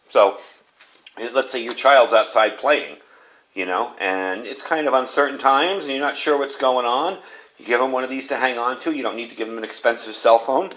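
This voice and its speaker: A man's voice: medium pitch, fairly bright tone. An American man giving a casual product review in a plainspoken, conversational way, explaining things as he goes.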